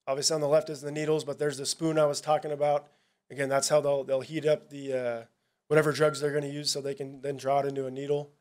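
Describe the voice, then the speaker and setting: A man with a slightly high voice speaks plainly to a town hall audience as a narcotics officer. He talks in a casual, halting way, stopping and restarting his sentences often. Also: level tone, a little hiss or hum. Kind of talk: presentation at a public town hall.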